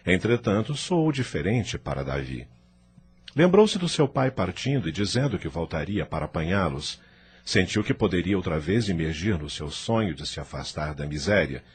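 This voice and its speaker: A man's voice: sits low, sing-song, normal speed, breathy edge. A man narrating a scripted audio novel as its storyteller.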